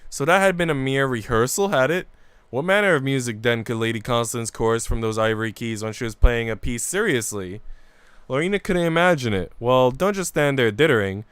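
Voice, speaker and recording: A man's voice: mid-range voice, brisk, a bit bright, clean tone, no room sound. A man streaming a visual novel, switching between casual, slangy chat and reading the story aloud. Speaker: game streamer.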